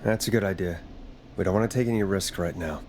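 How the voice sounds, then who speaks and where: An actor voicing a concerned, hesitant radio host in a horror video game, earnest and questioning. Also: male voice, deep voice, quick pace, a little mellow, a little hiss or hum. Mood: shaken. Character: uneasy, concerned male late-night radio host.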